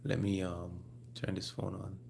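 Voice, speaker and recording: A man with a Jamaican accent hosting a casual live stream, speaking haltingly and repeating his phrases. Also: deep voice, completely flat delivery, creaky, darkish tone, a little hiss or hum. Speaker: livestream host.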